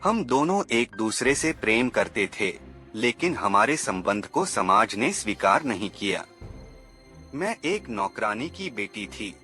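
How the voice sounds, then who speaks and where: A man voicing a sad, mysterious young ghost woman in a horror story, solemn and measured. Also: lowish voice, sing-song, pushed and bright, faint music behind, heavily acted. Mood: sorrowful.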